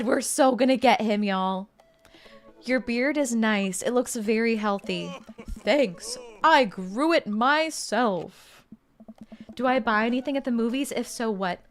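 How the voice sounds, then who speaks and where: A young woman streaming a game, chatting casually and reading game text aloud in a bubbly way. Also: fairly high pitch. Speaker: gaming streamer. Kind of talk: casual let's-play commentary.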